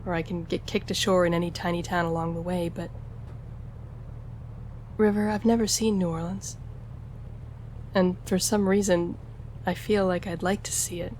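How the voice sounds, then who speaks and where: A woman's voice: lowish voice, fairly even intonation, normal speed, pauses often, a little mellow, slight background noise, lightly acted, rough recording. A woman playing a charming, flirtatious gambler and stowaway in an intimate audio roleplay, teasing and conversational as she speaks to the listener. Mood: earnest, hopeful. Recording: music behind the voice.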